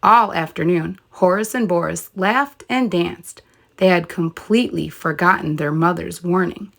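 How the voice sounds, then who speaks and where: A woman's voice: fairly low pitch, measured pace, vocal fry. A woman narrating a children's story expressively, like a teacher reading aloud.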